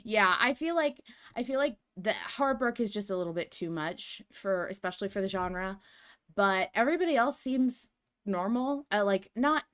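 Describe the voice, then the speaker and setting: A woman hosting a podcast in casual discussion, talking in an animated, emphatic and rambling way. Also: mid-range voice, moderate pace, clean tone. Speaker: podcast host.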